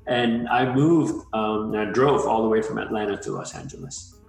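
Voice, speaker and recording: A man from Malaysia in his thirties chats easily as an interview guest, his fairly low voice filled with "you know" and "um". The sound has a roomy echo, and soft music sits behind him. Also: huge pitch swings, moderate pace, vocal fry. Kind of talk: casual interview.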